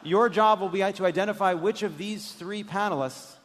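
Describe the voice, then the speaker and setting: A man's voice: sits high, normal speed. A man hosting a live comedy panel quiz with teasing, mock-solemn patter. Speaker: comedy show host and quizmaster. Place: live stage before an audience. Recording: echoey hall, loud background noise.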